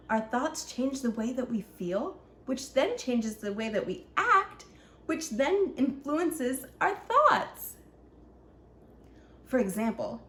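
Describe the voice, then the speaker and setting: A woman's voice: high voice, fairly bright tone, heavily acted. A woman voicing an earnest young ninja from a children's storybook, explaining things simply to children. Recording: echoey room, faint background noise.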